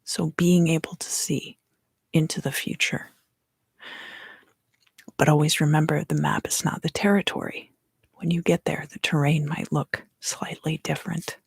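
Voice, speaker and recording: A woman in her thirties gives an ASMR tarot reading in hushed, unhurried, deliberate phrases, close to the microphone. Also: deep voice, level tone, creaky, slightly rough, no echo. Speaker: tarot reader and ASMR host. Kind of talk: ASMR tarot reading, whispered and softly spoken.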